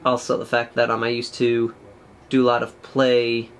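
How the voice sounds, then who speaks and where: A young man casually talking through painting a miniature, chatty and rambling, with a loud projected voice, in a small room at home. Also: medium pitch, monotone, normal speed, slight background noise. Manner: rambling, chatty, many fillers. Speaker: miniature painting channel host. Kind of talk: casual tutorial commentary while painting.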